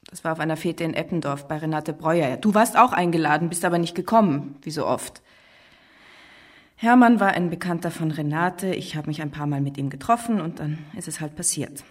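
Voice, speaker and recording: A woman's voice: low-pitched, fairly quick. An actress in a radio crime drama playing a frank pregnant woman, direct and slightly defiant with an old flame. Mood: defiant, confessional.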